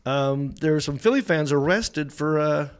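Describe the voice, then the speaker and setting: A man's voice: fairly high pitch, normal speed, clear, resonant tone. A man, hosting a podcast in a studio and telling stories in casual, teasing chat. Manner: animated storytelling, teasing. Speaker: podcast host. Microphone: studio mic on boom.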